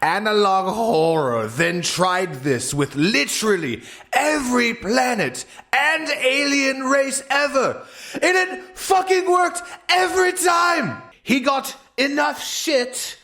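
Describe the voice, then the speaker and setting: A man's voice: very high-pitched, expressive, slow, pushed and bright, raised voice. A man narrating an absurd comedic tale as a storyteller, with a theatrical, exaggerated delivery.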